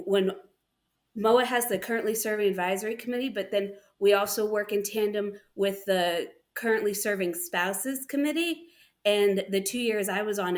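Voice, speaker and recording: A woman serving in the military, speaking conversationally as a panelist in a discussion. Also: medium pitch.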